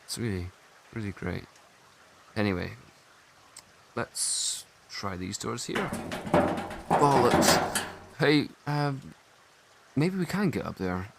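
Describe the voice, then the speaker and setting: An actor playing a cheeky, sceptical, grumbling survivor with a sarcastic manner in an audio drama. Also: male voice, on the low side, expressive, slow, gravelly, long pauses, background noise, full character voice. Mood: eager, impatient.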